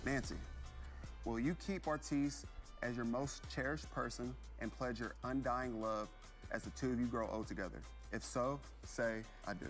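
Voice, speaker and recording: A man in a mid-range voice reads the wedding vows slowly and solemnly, like a ceremony officiant. Faint music plays behind him. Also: medium pitch, fairly even intonation. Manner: slow and solemn.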